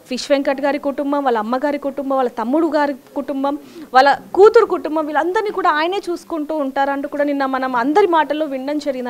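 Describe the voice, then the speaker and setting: A woman reporting live news from the field in a wordy, emphatic way. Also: high-pitched.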